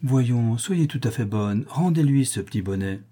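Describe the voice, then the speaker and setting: A man voicing a gentle, pious young woman prisoner who speaks softly and pleads, in a husky voice. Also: mid-range voice, moderate pace. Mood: pleading. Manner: soft, pleading, calm.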